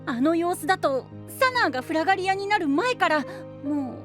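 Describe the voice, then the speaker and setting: 明るく可愛らしい少年を演じる男性声優。甘く弾むような少年らしい話し方。. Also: male voice, very high voice, very animated, moderate pace, a bit bright, faint music behind, full character voice. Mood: consoling. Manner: bubbly, sweet, boyish. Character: cute cheerful young boy, playful companion. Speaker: voice actor. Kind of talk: anime voice drama.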